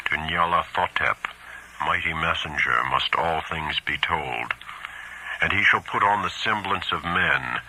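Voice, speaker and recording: A man voicing a monstrous alien insect creature, chanting a slow, solemn dark ritual in a horror story. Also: a bass voice, slight background noise, exaggerated voice, low-quality recording. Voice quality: buzzing, droning.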